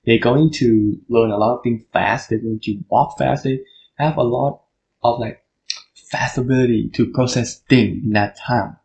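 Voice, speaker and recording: Young thai guy hosting a solo podcast in english, thai accent, earnest, explains stuff straight to you, mid-pitched voice. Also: fairly even intonation, normal speed, vocal fry, a little mellow, low-quality recording. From Thailand. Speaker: podcast host. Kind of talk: solo podcast monologue on language learning.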